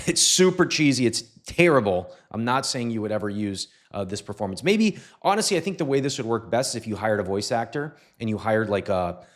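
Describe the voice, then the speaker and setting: A man narrating a casual software tutorial in a conversational way, often checking in with short tag questions. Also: medium pitch. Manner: conversational, often tags sentences with "right?". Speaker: tutorial host.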